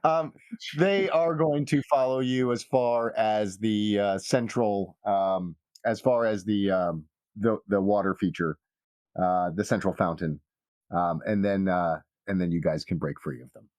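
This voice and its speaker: Halting male game master, close and dry. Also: fairly low pitch, no echo. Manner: halting, trailing phrases. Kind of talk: narrating a tabletop game. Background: soft guitar music.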